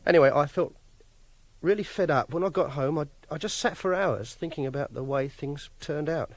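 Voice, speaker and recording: Awkward loner guy, radio play confession, slightly husky, halting n morbid, super dry close mic. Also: fairly high pitch, no room sound. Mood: bitter, dejected. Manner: halting, plain-spoken, brooding. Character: quiet, socially awkward, obsessive male loner, self-pitying and morbid. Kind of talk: confessional first-person radio drama monologue. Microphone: close, dry studio microphone.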